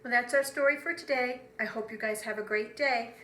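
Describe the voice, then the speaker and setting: Female preschool storyteller, fairly high voice, expressive and direct, speaking simply to little kids. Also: fairly quick. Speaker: preschool teacher reading a storybook. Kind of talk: read-aloud storytelling for young children.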